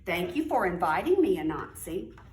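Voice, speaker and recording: Agreeable storybook elephant, a woman reading, lively pitch. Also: normal speed. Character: gullible, agreeable male elephant of folktale. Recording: roomy, distant mic with faint noise.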